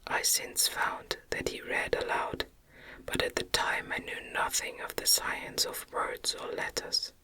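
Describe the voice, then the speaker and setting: A woman narrates classic gothic fiction in a breathy ASMR whisper, with no voiced tone at all. Her reading is gentle, measured and very slow. The whisper is extremely bright and crisp, spoken close to the mic. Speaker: audiobook narrator.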